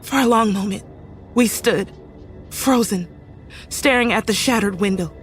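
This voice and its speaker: Horror narrator actress: uneasy young woman, serious suspenseful delivery, mid-pitched, soft music behind. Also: unhurried, lightly acted. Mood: horrified. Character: uneasy young woman recounting a horrifying night. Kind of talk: dramatic scripted first-person horror narration. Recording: music faintly under the voice.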